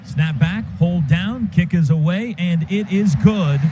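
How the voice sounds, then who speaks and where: A man calling a football game play by play for radio, in quick clipped bursts that jump up on big plays. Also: high-pitched, music in the background. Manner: rapid, clipped calls that rise sharply on big plays. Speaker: play-by-play announcer. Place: stadium radio booth. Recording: noisy stadium radio broadcast.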